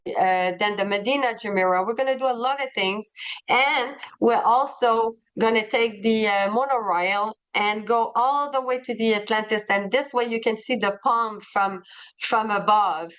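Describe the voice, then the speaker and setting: A woman's voice: medium pitch, a bit bright, clean tone. A woman with a non-native English accent, presenting a tour itinerary to travel agents on a webinar. She is enthusiastic and often hesitates and restarts.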